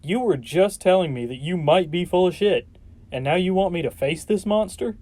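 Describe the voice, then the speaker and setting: A man's voice: high voice, lively intonation, normal speed, dark tone. An actor playing a frightened, doubting man in an audio drama, challenging and agitated. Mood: fearful doubt.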